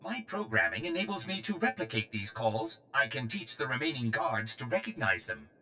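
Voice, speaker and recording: An actor playing a polite, eager protocol droid translator in a video game, with formal, precise speech. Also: male voice, lowish voice, moderate pace, bright, forceful tone, a little hiss or hum. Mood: earnest, precise. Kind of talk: video game character acting.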